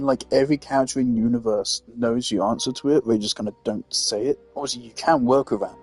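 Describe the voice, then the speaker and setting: A man's voice: mid-range voice, slightly rough. A male streamer giving casual, rambling commentary over a game, with plenty of fillers and restarts. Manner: rambling, filler-heavy chat. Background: faint game music.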